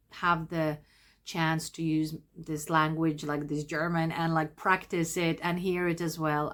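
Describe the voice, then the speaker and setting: Chatty woman vlogger. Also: fairly low pitch. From Latvia. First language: Latvian. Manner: rambling, chatty, self-interrupting. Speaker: crochet vlogger. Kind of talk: casual chat to camera.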